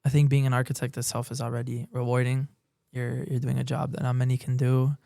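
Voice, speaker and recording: A young male architecture student speaks sincerely about his passion in a gravelly, mellow voice. His pitch stays flat, and the sound is dry and close. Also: brisk, no echo. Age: young adult. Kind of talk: interview answers.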